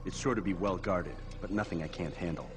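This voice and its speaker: A man plays a proud assassin from a video game. His voice is low, and he speaks tersely with cool confidence. Music plays clearly behind the lines. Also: brisk, lightly acted. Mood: curt, confident. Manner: terse, cool, self-assured. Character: proud, curt young assassin on a mission. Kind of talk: video game dramatic acting.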